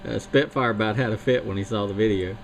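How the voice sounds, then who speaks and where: A man's voice: on the low side, fast talker, gravelly, heavy background noise. A man who operates a CB radio, chatting in a folksy, rambling way. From North Carolina. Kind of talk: casual radio chat.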